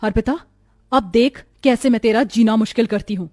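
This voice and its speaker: Woman acting high-pitched, jealous, fiery cartoon wife. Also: moderate pace, darkish tone, close to an everyday voice. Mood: alarmed, scheming. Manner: emphatic, melodramatic. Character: jealous, suspicious young wife, fiery and accusing. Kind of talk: cartoon family melodrama dubbing.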